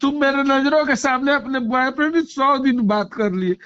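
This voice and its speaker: A man's voice: extremely high pitch, moderate pace, a bit bright. A man with a Bhojpuri accent in a heated personal argument, speaking in an insistent, confrontational way and slipping into dialect. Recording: muffled, lo-fi viral phone-call recording.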